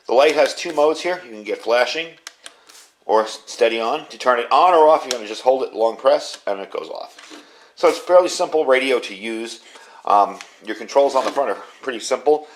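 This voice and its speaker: Guy doing a casual gadget review in his garage, plain talk, medium pitched voice. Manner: plain-spoken, informal, conversational. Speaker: product reviewer. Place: garage studio, indoors.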